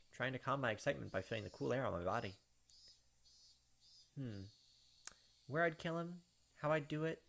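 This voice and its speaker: A man's voice: medium pitch, vocal fry, a bit bright. A man reading a visual novel's narration aloud as he plays, adding short side comments of his own. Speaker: gaming channel host doing a playthrough. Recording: game music behind the voice. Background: visual novel background music.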